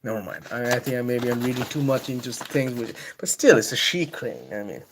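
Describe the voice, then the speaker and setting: A man's voice: medium pitch, lively intonation, fairly quick, slight background noise. Rambling, laughing Bengali-accented man. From Bangladesh. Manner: rambling, irreverent, laughs between thoughts; reads passages aloud in a louder, declaiming voice. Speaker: host reading and reviewing a book. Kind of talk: casual livestream book talk.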